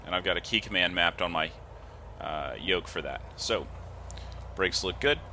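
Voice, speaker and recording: A man's voice: sits low, normal speed, noisy background. A man giving methodical, instructional step-by-step flight simulator commentary, reading off checklist items. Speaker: host of a flight simulator video series.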